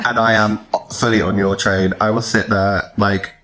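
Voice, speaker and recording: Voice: low voice, little pitch movement, normal speed, a bit bright. A podcast host chatting casually and informally with guests, often dropping in "like". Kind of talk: casual chat interview.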